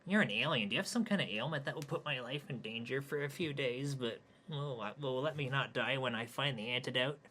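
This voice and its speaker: A self-pitying young loser with a mid-range, bright voice, sighing and hesitating through every line, voiced by a man reading his story. He sounds meek and easily crushed. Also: mid-range voice, fairly quick, a little hiss or hum, pitched above the performer's own voice, natural voice. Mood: whiny. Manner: whiny, sighing, hesitant with many "oh" and "uh".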